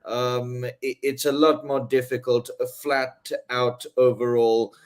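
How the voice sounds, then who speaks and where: A man's voice: medium pitch, flat delivery, slow pace, clean tone. A man with an English accent, talking as a guest in a casual interview, haughty and rambling, full of ums and rhetorical "right?". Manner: haughty airs. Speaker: guest author.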